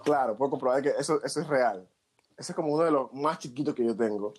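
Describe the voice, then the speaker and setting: A man's voice: medium pitch, fast, soft background music. A man in his twenties with a Panamanian accent, hosting a casual podcast chat with friends in a slangy, joking way. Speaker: podcast host.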